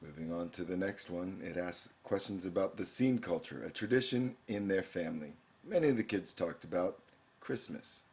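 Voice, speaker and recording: A man's voice: low-pitched, normal speed, a little mellow. A young man, a teacher, walking through an online course in a screencast, explaining each page and reading questions aloud. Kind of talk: screencast walkthrough narration.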